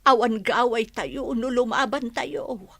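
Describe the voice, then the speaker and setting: A woman's voice: a little high, slow, creaky, dry recording, full character voice. A woman playing an anxious, cautious villager in a radio drama, speaking in an earnest, lamenting manner. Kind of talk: Ilocano radio drama acting.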